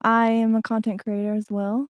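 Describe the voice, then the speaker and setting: A woman's voice: on the high side, little pitch movement, normal speed, no room sound, studio quality. A woman in her thirties from Kentucky, a nervous, giggly podcast guest. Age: 30s.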